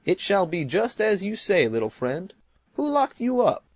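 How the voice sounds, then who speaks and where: High, husky, cheerful scarecrow. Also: male voice, sing-song, normal speed, no echo. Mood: reassuring. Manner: amiable, folksy reassurance. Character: cheerful, mild-mannered, philosophical living scarecrow. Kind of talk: dramatized storybook acting.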